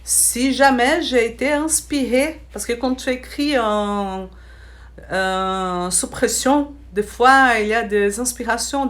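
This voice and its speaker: A woman's voice: on the high side, fairly bright tone. A woman with a Brazilian Portuguese accent, speaking French as a third language, chatting to camera in a vlog, hesitant with many restarts, recorded on a handheld phone. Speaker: vlogger. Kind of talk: casual chat to camera. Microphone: phone held in hand.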